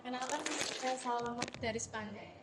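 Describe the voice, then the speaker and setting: A woman's voice: fairly high pitch, brisk, noisy background. Student girl answering in an echoey classroom. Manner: short, plain answers. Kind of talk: classroom self-introduction.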